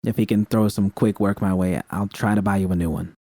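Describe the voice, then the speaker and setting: A hard-edged woman mercenary, blunt and quick to curse, with a low and mellow voice, as a male storyteller plays her. Her lines come out clipped and dry, close to the mic. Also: fairly even intonation, no room sound. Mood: curt. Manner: curt and swearing, quick. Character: tough, blunt woman mercenary, short-tempered.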